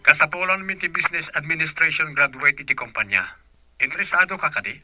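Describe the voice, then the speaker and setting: A man playing a brisk, businesslike department head calling a job applicant. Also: on the high side, bright, forceful tone, no echo, faint music behind, poor audio.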